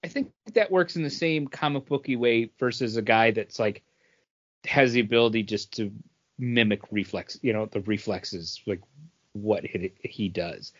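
A man's voice: lowish voice, normal speed, fairly bright tone, no echo. Man chatting casually, dry muffled sound. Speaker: podcast co-host. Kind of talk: casual, rambling chat about comic book movies.

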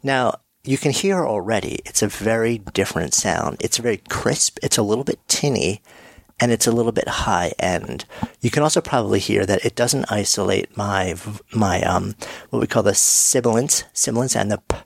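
A man's voice: on the low side, moderate pace, creaky, no echo. A man giving a casual, friendly walkthrough of his podcast gear, switching between a studio mic and a headset mic. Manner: friendly, demonstrative, self-deprecating. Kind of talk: casual explainer talk. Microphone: studio dynamic mic on swing arm, later headset mic. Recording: dry close-mic sound.

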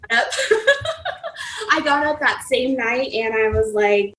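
A woman's voice: a little high, wildly swooping pitch, slow pace, fairly bright tone, roomy sound, a little hiss or hum, rough recording. A woman in her twenties from New Mexico, a podcast guest telling her story in a bubbly, giggly way with many fillers. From New Mexico, USA. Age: 20s. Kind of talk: casual interview storytelling.

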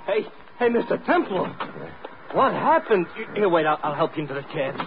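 An actor playing a loyal, simple-hearted, worried cab driver with folksy, gushing talk in an old-time radio drama. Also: male voice, a little high, sing-song, normal speed. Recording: muffled, noisy vintage radio recording.

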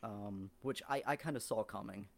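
Podcast guest, man, lower voice, halting and rambling, casual. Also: gravelly. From southern Ohio, US. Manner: rambling, halting, with many ums and restarts. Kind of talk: casual podcast interview chat.

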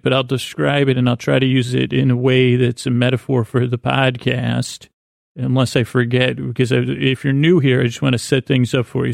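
A man hosting a sleep podcast with a meandering, halting, whimsical bedtime monologue in a gravelly, creaky voice. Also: mid-range voice, dry recording, clean studio sound. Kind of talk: rambling improvised bedtime monologue.

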